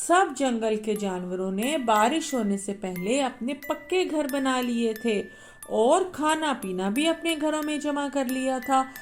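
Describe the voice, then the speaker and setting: A woman narrating a bedtime story for children in a slow, gentle way. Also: sits high, expressive, soft background music.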